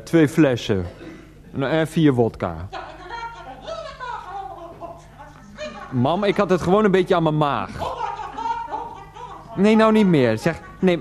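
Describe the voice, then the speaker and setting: A flustered grown son in a radio play. His pitch leaps about as he talks to his mother, pleading in halting, repeated bursts, exasperated and insistent. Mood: hurt, defensive.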